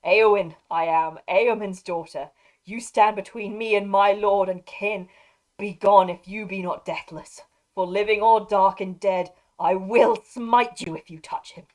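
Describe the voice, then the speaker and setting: A woman voicing a defiant young shieldmaiden, loud and ringing as she declaims. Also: mid-range voice, steady tone, a little slow, close to an everyday voice, poor audio.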